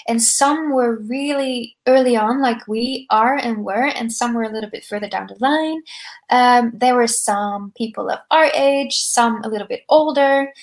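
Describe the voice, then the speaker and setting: A soft-spoken young woman, a startup co-founder, talking casually into a podcast microphone. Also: on the high side. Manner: soft-spoken, conversational, with fillers. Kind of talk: podcast interview answers.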